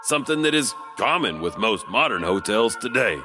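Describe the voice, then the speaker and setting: A man's voice: lowish voice, big pitch swings, normal speed, over music. A man narrating as an enigmatic janitor host, telling spooky tales with eerie chuckles and a drawling delivery. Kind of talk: spooky scripted horror narration.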